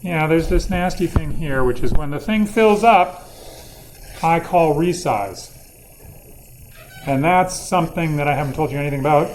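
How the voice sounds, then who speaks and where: Higher-pitched male lecturer, informal. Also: moderate pace, pauses often, a little hiss or hum, low-quality recording. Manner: informal, thinking aloud, playfully wry. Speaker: university lecturer. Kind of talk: interactive lecture, posing questions to students. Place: classroom or lecture room.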